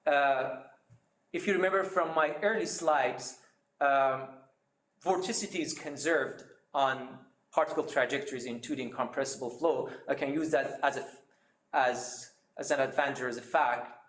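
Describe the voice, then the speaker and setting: A man giving a seminar talk, explaining his method step by step with many pauses and fillers. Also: mid-range voice, fairly bright tone, reverberant. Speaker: seminar speaker.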